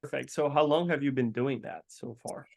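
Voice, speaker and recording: Man host, halting speech. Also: mid-range voice, gravelly. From Poland. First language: Polish. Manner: halting, repeats words while searching for them. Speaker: podcast co-host. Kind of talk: casual interview.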